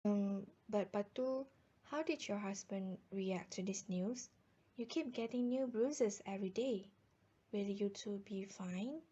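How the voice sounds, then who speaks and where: A woman playing a gentle, submissive adviser in an amateur radio drama. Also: mid-range voice, unhurried, slightly rough, muffled recording. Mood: worried.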